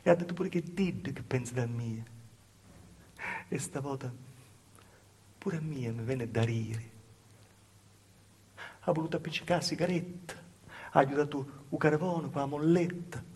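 A man's voice: medium pitch, unhurried, long pauses, a little mellow, a little hiss or hum, close to an everyday voice. An actor playing a humble, wronged southern Italian village woman in a plaintive theatrical monologue, with a Calabrian accent and repetitive storytelling. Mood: wry, confiding. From southern Italy, Calabria. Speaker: stage actor performing a monologue. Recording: live stage performance with music. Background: live music.

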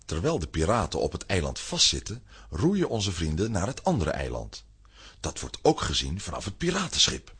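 Male narrator, fairly low, very husky, wildly expressive, dramatic children's storytelling. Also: normal speed.

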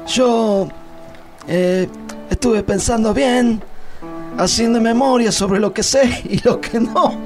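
Casting: male actor as chatty, evasive Argentine man, extremely high voice, rambling witness, radio comedy over music. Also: slow pace, a little mellow. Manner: hesitant, rambling, mock-philosophical. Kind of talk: parody radio drama acting.

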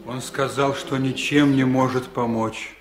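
An actor playing a sober, resigned village doctor in a Soviet radio drama. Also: male voice, medium pitch, steady tone, a little slow, lightly acted. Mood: resigned, matter-of-fact. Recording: echoey room.

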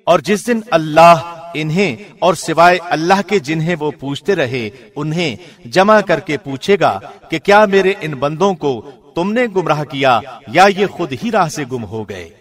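A man reading a scripture translation as a scripted narrator, in a formal, measured radio presenter's manner. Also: fairly high pitch, animated.

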